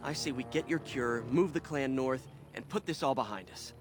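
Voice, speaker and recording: A man's voice: mid-range voice, moderate pace, background noise, close to an everyday voice. An actor playing an earnest, worried young elven clansman in a fantasy game, with an anxious manner.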